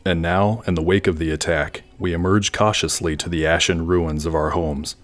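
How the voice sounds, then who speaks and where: A man playing a brooding, grandiose villain in a fan audio drama, delivering his words in a dramatic, measured way. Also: deep voice, steady tone, close to an everyday voice. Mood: grave, grandiose. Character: brooding, grandiose male villain plotting world domination.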